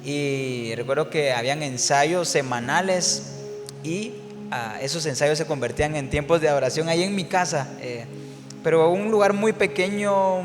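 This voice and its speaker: A man from Guatemala, a worship singer telling his story in a relaxed interview, reflective and full of fillers and restarts. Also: fairly high pitch, long reverb tail.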